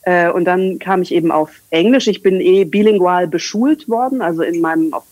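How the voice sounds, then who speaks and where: Casting note: expressive, rambling woman in her 30s, casual interview chatter, close and dry recording. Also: fairly low pitch, fairly quick, no room sound. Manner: chatty, rambling, many fillers. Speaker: communication coach and English trainer.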